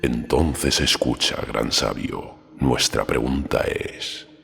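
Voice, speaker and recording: A man voicing a solemn ancient eagle-headed sage in a storybook, with a deep, full voice, speaking quietly and gravely. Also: full character voice. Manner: slow, quiet, grave and formal. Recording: long hall reverb, music behind.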